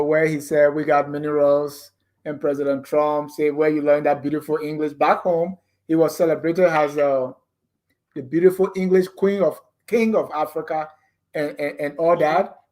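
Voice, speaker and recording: A man's voice: a little high, darkish tone. A man interviewing a guest, asking questions with many hesitant restarts.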